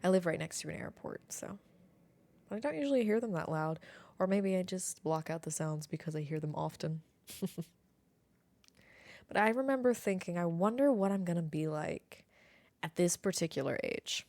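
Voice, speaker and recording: Female podcaster, late twenties. Lowish register, dry sound, casual and reflective delivery. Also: expressive, normal speed, darkish tone, no room sound. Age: late 20s. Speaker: podcast host. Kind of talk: casual solo podcast chat. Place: at home near an airport.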